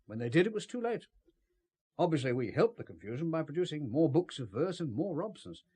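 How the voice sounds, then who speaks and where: An actor playing a cagey, ruthless British spymaster in an audio drama, with formal, understated speech and a husky voice. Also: male voice, a little high, sing-song, a little fast, a little mellow. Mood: smooth, calculating.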